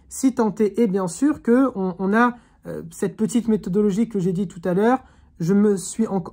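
A woman's voice: mid-range voice, a little mellow, husky. A woman teaching an online religious class over a video call, speaking in an earnest, reflective way and urging her listeners on. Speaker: Quran teacher.

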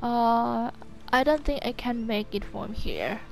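Slow-talking woman doing gameplay commentary. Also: fairly high pitch, animated, vocal fry. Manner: talks to herself in short remarks. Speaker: gaming video host. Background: game music and sounds.

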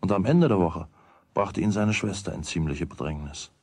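A man's voice: sits low, lively intonation, normal speed, darkish tone, slight background noise. A man narrating a children's radio play as its storyteller, in a scripted storytelling style. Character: storyteller of a boy's everyday adventures.

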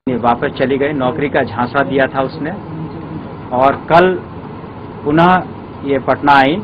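Male police officer, rapid clipped statement, muffled street recording with faint traffic. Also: medium pitch, a crowd in the background. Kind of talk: press statement. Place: outdoors on a street.

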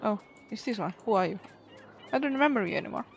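A woman's voice: medium pitch, animated, fast talker, muffled recording, a little hiss or hum. A woman giving casual gameplay commentary, musing to herself and exclaiming at what she finds. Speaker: gaming streamer. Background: soft game music.

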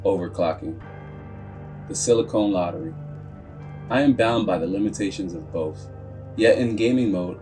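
A low-pitched male narrator delivers scripted storytelling in the role of a proud, grave machine. He speaks solemnly and deliberately, leaving dramatic pauses. Music plays clearly behind the voice-over. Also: mellow. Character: computer processor telling its own story as a grave, proud character. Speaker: video narrator.

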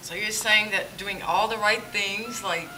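A woman acting out a doubtful guest who questions every claim. Her voice is at a middle pitch. It comes from a distance in a church hall with music behind it. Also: fairly even intonation, unhurried, close to an everyday voice. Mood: skeptical. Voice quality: skeptical, questioning. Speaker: church play actor. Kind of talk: amateur church stage play. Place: church hall stage, indoors. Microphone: far camera.

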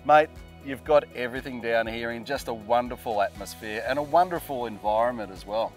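A man's voice: medium pitch, fairly quick. Hearty Australian host in his sixties. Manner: hearty, upbeat, leading questions ending in "isn't it?". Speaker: TV boating show host. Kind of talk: friendly on-location interview. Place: boat workshop and outdoor marina. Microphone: lapel mic. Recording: faint background music.

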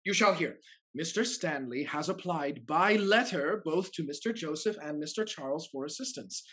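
A man acting a loyal, earnest family steward in a period stage comedy, measured and articulate. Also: a little high, expressive, a bit bright. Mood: explaining, confident. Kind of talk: theatrical period comedy acting over video call. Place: indoors, on a video call.

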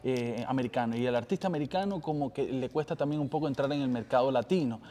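A man's voice: mid-range voice, fast talker, mellow. A middle-aged man hosting an interview, eagerly asking questions and filling in words for his guest. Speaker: interviewer host. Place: small office with couches, indoors.

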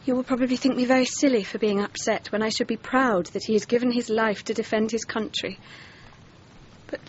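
A woman's voice: fairly even intonation, fairly quick, close to an everyday voice. An actress playing a well-bred young Englishwoman in grief in a radio drama, earnest and genteel, with an English accent. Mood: strained, polite. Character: grieving, well-bred young Englishwoman, polite but distraught. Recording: slightly muffled, faint rumbling noise.

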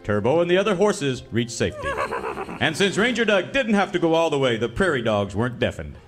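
A man's voice: fairly high pitch, big pitch swings, brisk. A man narrating as announcer in a melodramatic parody of an old radio serial. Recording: live stage, loud music and crowd.

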